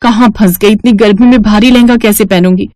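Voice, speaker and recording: Woman acting young daughter-in-law, complaining, fretful, mid pitch, cartoon story, dry sound. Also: steady tone, a little fast, dark tone, no echo, natural voice. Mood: reluctant.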